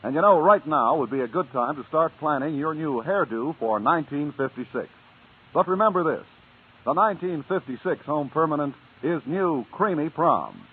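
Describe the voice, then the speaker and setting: A man's voice: on the high side, husky. A man announcing and narrating in polished, emphatic 1950s radio advertising style. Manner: polished, emphatic sales delivery. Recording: tinny old radio broadcast.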